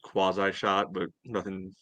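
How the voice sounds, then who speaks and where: A man co-hosting a sports podcast, giving measured, casual commentary. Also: low voice, monotone, a crowd in the background. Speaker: podcast co-host.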